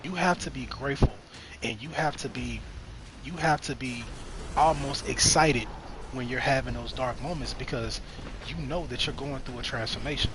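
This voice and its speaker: Young male streamer, rambling spiritual musings mid-game, filler-heavy, noisy recording. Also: medium pitch. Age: 20s. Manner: rambling, repeats phrases, many "you know what I mean" fillers. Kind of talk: reflective spiritual talk while gaming. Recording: noticeable background noise.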